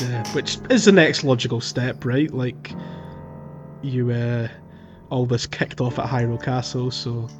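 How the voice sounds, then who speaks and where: A man co-hosting a podcast, chatting casually about a video game in a hesitant, halting way. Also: mid-range voice, highs cut off. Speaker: podcast co-host.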